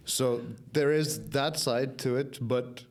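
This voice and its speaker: A young man from Ireland with a softened, somewhat Americanized Irish accent, telling his own story candidly and casually as a podcast guest. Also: medium pitch, fairly even intonation, takes it slowly. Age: young adult.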